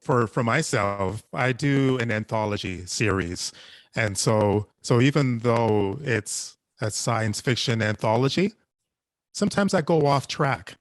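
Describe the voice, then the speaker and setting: A man talking casually as a panelist, halting and often repeating his phrases. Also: mid-range voice, animated, no echo. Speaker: panelist, anthology podcast creator.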